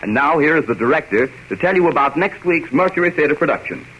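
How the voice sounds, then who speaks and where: A man's voice: fairly high pitch, lively intonation, fast talker. A man announcing formally on 1930s network radio, polished and declamatory. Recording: dull, noisy vintage radio recording.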